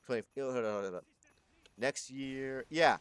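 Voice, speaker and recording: A man's voice: mid-range voice, moderate pace, clean tone. A man of twenty-two chatting casually on a live stream, rambling and correcting himself, swearing freely.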